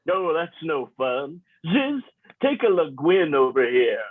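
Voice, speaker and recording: Through narrow, phone-like audio comes a husky, laid-back alien frog outlaw, played by a man. The delivery is slangy and cocky, with lively swoops in pitch. It has the swagger of a comedy party animal. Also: takes it slowly, exaggerated voice. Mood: breezy, cocky. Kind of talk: animated comedy script table read. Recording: muffled, phone-like narrow sound.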